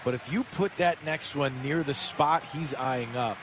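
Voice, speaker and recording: A man's voice: mid-range voice, measured pace. A man giving conversational, slangy analysis as color commentator on a live baseball radio broadcast. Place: stadium broadcast booth. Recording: noisy stadium broadcast with crowd. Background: crowd and ballpark noise.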